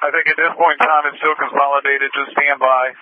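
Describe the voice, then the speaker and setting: A man speaking as an emergency responder in brisk, fairly informal radio traffic. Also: mid-range voice, level tone. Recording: muffled emergency radio transmission.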